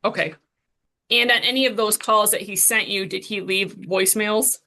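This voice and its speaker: A woman's voice: medium pitch, fairly even intonation, moderate pace, sharp tone, slight background noise. A woman working as a prosecuting attorney, questioning a witness formally and step by step in court.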